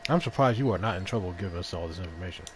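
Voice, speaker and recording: A man's voice: low-pitched, expressive, very fast, slight background noise. A man giving joking commentary over a video game.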